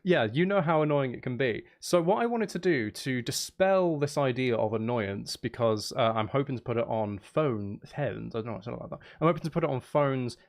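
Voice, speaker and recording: Man, live streamer, gravelly fry, lively swinging pitch, casual rambling chat, self-interrupting. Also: brisk, hardly any pauses. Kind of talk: casual livestream chat with viewers.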